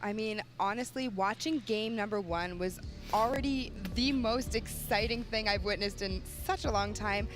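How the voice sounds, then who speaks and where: A young woman calling play-by-play at an esports tournament, steady and explanatory. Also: medium pitch, normal speed, over music. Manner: steady, explanatory, with frequent fillers. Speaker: esports caster. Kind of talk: play-by-play commentary.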